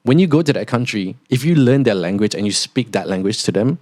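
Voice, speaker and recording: Low-voiced Singaporean man chatting casually. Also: animated, a little fast, darkish tone, dry recording, clean studio sound. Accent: Singaporean, partly neutralized toward Australian. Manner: rambling, lots of "like" fillers. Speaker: podcast host, international student. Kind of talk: casual podcast monologue.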